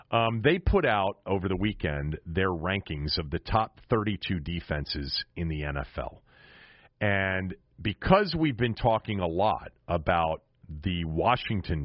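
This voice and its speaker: A man hosting a sports podcast, giving conversational commentary and emphatically restating his points. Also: low-pitched. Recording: roomy, dull lo-fi audio.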